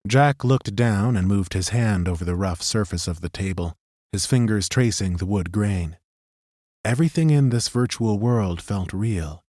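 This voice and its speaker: A man narrating a fantasy audiobook in a measured, even way. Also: lowish voice, no room sound, clean studio sound. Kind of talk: scripted narration.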